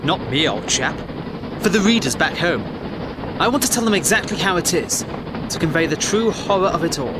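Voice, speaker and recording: A man plays an urbane English gentleman reporter of the 1910s in an audio drama. His voice is fairly high, genteel and clipped, and quietly persistent. Loud vehicle rumble surrounds every word. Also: expressive, moderate pace, natural voice. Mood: earnest. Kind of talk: period audio drama acting. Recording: loud vehicle and battlefield ambience.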